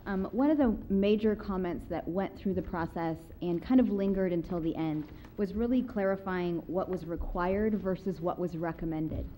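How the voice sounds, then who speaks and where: A woman's voice: fairly low pitch, normal speed, few pauses, warm tone, a little hiss or hum, poor audio. A woman on city planning staff presenting at a public meeting, careful and explanatory, with frequent fillers. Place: council chamber, seated at a table.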